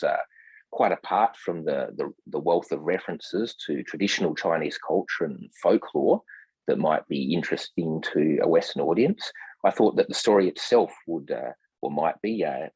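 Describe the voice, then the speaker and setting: Low, husky-voiced man, hesitant and scholarly. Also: a little mellow, dry recording, rough recording. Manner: hesitant, measured, frequent ums between phrases; formal, erudite wording. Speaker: literary translator, podcast guest. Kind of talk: scholarly literary discussion.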